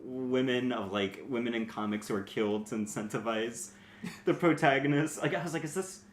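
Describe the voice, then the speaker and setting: A man in a casual, comedic podcast book discussion, talking in an animated, profane way. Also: medium pitch, brisk. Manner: animated, acts out joke voices, profane. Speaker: podcast panelist. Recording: echoey room with faint noise.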